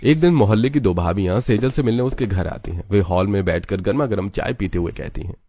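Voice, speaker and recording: Low-pitched male story narrator. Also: brisk, mellow. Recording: music under the voice.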